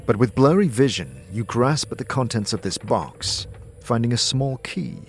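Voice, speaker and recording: A man narrating a scripted audio drama as game master, with measured, suspenseful storytelling addressed to the listener. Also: mid-range voice, lively intonation, faint music behind.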